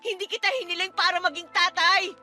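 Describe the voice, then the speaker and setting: A woman's voice: extremely high pitch, little pitch movement, brisk, bright, forceful tone, full character voice. A woman playing a defiant, scornful young housemaid bent on revenge in an emotionally charged radio drama. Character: bitter, vengeful young housemaid confronting her abusive stepfather. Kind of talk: Tagalog radio drama acting. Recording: music bed under the voice.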